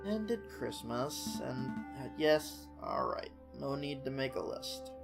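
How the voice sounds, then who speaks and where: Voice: medium pitch, animated, takes it slowly, creaky. A streamer with a nasal, stuffed-up voice, casually reading a game aloud on a live stream. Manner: casual, swears. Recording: music in the background.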